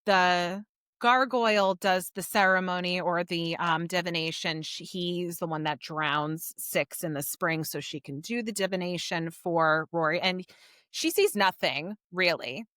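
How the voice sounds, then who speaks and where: A woman's voice: on the low side, a little slow, fairly bright tone, dry recording, clean studio sound. A woman co-hosting a book podcast, retelling a story in a chatty, informal, emphatic way. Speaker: podcast co-host. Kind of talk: casual book discussion recapping a story.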